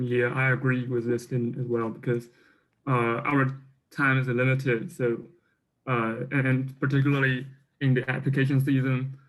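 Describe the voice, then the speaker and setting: A man's voice: mid-range voice, fairly bright tone, low-quality recording. A teenage boy from mainland China, talking hesitantly as a student panelist on a video call. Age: teens. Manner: hesitant, with many uhs and restarts. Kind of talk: casual discussion.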